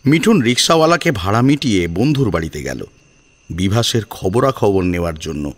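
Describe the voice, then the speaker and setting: A man narrating a dramatic audio story in an expressive storytelling style. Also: fairly low pitch, normal speed. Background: music.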